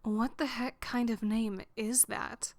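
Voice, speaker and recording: A woman playing a touchy, teasing young female troll in a fan drama, with halting, defensive banter. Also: a little high, steady tone, darkish tone, no echo, studio quality.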